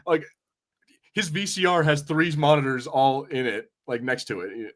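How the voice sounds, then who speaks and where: A man's voice: fairly high pitch, animated, normal speed, a bit bright. A man co-hosting a podcast, chatting casually and wryly with rambling, sweary commentary. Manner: rambling, profane, wry. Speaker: podcast co-host. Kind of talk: casual watch-party banter and commentary.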